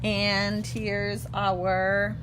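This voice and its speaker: A woman in her thirties hosting a casual stamping craft demo, slightly hoarse, chatty and self-correcting, talking while she works at a table in her craft room. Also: mid-range voice, little pitch movement, drawn-out words. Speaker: craft tutorial host. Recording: clear background hum.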